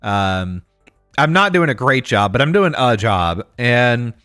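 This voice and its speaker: A man co-hosting a podcast, telling a story in casual chat, animated and acting out what others said, with many fillers. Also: mid-range voice, takes it slowly, clear, resonant tone, no room sound, studio quality, soft background music. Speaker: podcast co-host.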